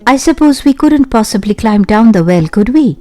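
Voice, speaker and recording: A woman's voice: fairly high pitch, expressive, moderate pace, warm tone, no room sound, faint music behind, close to an everyday voice. A woman voicing an anxious, caring young girl adventurer in a children's storybook, earnest and pleading. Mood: earnest, hopeful. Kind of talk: children's storybook character acting.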